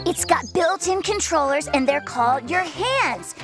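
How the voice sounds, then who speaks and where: Young male bear cub for kids' cartoon: high, lively inflection, sincere and friendly, music bed. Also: a little slow. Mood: encouraging. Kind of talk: children's cartoon voice acting. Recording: music behind the voice.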